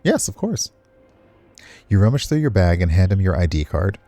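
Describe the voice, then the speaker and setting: A male game streamer narrates and comments casually. His voice is mellow and lowish, with very lively intonation and a playful touch. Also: fast. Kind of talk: casual commentary and narration read aloud from a visual novel.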